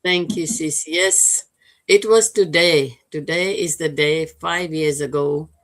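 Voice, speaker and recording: An older woman tells her own story as an interview guest. Her voice sits low for a woman, and she speaks in a measured way with many small fillers. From Fiji.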